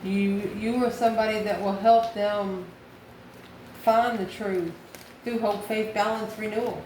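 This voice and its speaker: A woman's voice: medium pitch, steady tone, measured pace, a little mellow. Woman reading tarot in an echoey room. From United States. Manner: musing aloud, asks and answers own questions. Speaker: tarot card reader. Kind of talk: casual tarot reading, spoken off the cuff. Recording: echoey room, clear background noise.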